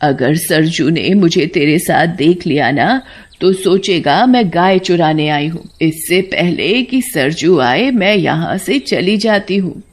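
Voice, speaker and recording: An old village woman, played by a woman narrator, kind and full of pity. She mutters slowly and plaintively, in a lowish voice, as in a cartoon folk tale. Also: expressive. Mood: concerned, tender. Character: kind, poor old village woman who pities animals.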